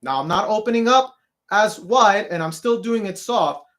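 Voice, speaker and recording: A man with a deep speaking voice teaching a singing lesson, who ends nearly every phrase with "okay?" and sings short demonstration notes. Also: animated, normal speed, fairly bright tone.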